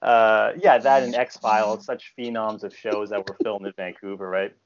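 A low-voiced francophone Canadian actor on a remote podcast interview, feeling his way through answers over slightly muffled audio. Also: level tone, moderate pace. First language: French. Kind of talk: casual interview chat.

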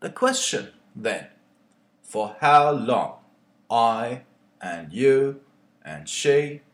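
A male poet speaking in a thoughtful, deliberate way, with pauses between short phrases. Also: mid-range voice, very animated.

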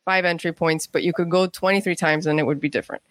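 A woman's voice: fairly low pitch, fairly even intonation, fast talker, dry recording. A woman speaking as an interview guest, telling stories conversationally and acting out what people said. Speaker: guest, playwright.

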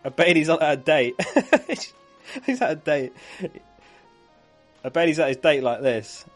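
A man's voice: fairly high pitch, very animated, a little slow, faint music behind. A man who is losing his voice, hosting a live fantasy football stream in a chatty way, reading out viewer comments and laughing along.

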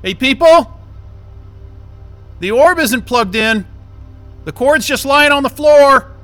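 A man's voice: very high voice, animated, slow, sharp tone, soft background music, heavily acted. A man acting in an audio drama as a confused, impatient interview candidate who rambles and hesitates in a casual way. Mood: exasperated, calling out.

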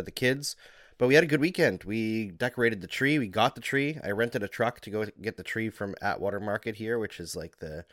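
A man from Alberta, a hockey analyst co-hosting a podcast, sick and under the weather, telling relaxed chatty stories. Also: lowish voice, no room sound, studio quality. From Alberta, Canada. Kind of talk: casual sports podcast chat.

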